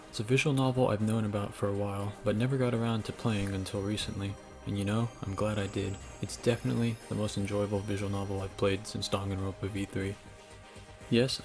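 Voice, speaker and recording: Low gravelly male video essay narrator. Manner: sardonic, casually profane. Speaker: video game reviewer. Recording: low-grade microphone. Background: game music.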